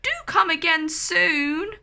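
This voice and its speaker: A woman voicing a lonely, gentle male giant in an earnest, emotive children's storybook style. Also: extremely high pitch, slow pace, creaky, voice pushed up, full character voice. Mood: hollering farewell.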